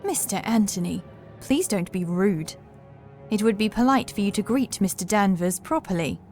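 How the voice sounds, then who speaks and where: A woman's voice: mid-range voice, lively intonation, normal speed, darkish tone, faint music behind, natural voice. A woman playing a deranged housekeeper in a horror audiobook, formal and scolding, with wrath under the politeness. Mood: prim, insistent. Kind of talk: horror audiobook character acting.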